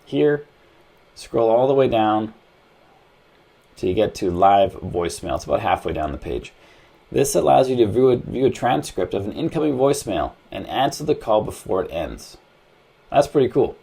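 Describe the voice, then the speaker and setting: Low, gravelly man giving tutorial. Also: sing-song, moderate pace, mellow. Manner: plain-spoken, instructional, conversational. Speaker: tech tutorial host. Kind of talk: casual step-by-step phone tutorial narration.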